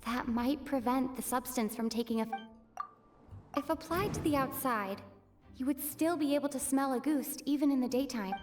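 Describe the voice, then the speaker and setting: Woman as careful young game investigator, high voice, explaining stuff, music behind. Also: normal speed, close to an everyday voice. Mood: analytical. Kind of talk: video game dialogue.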